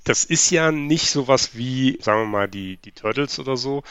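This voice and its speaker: A man's voice: medium pitch, normal speed, a bit bright, clean tone, no echo, highs cut off. A man hosting a casual podcast chat, asking questions and recapping to check that he understands.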